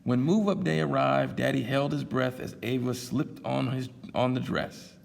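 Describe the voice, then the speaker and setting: A man reading a picture book aloud at a library storytime, pausing for chatty asides to his listeners. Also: mid-range voice, creaky, big-room sound. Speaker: storytime reader.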